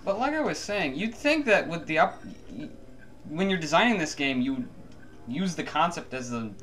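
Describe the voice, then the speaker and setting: A man with a fairly high, very animated voice chats over a video game with friends. He sounds incredulous and questioning, and game music plays behind him. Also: normal speed. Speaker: guest gameplay commentator. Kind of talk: casual group gameplay commentary.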